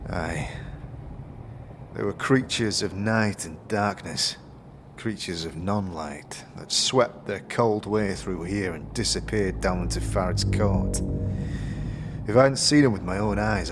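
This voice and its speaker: An actor playing a rough, grim villager in a fantasy audio drama, speaking in an ominous rustic way. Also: male voice, low-pitched, unhurried, noisy background. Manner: rustic, ominous dialect phrasing.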